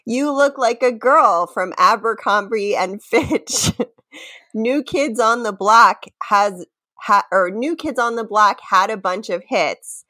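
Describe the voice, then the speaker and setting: A woman's voice: fairly high pitch, sharp tone. A woman co-hosting a comedy podcast, mixing playful dramatic readings with casual banter and often breaking into giggles. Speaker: podcast co-host.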